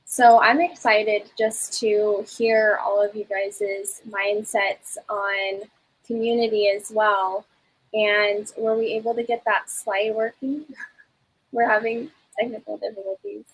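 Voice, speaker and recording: A woman's voice: mid-range voice, flat delivery, slow pace, husky, poor audio. A woman hosting an online community session, chatty and encouraging, with frequent ums. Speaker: community host.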